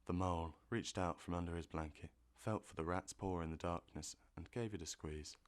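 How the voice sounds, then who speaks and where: A man narrating a classic children's story with measured, expressive delivery on a close mic. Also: deep voice, no room sound. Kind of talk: audiobook narration.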